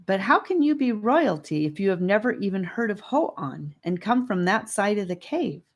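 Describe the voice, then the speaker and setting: A woman voicing a calm boy leader who explains things in a thoughtful, measured way in an audiobook. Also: medium pitch, voice pushed up.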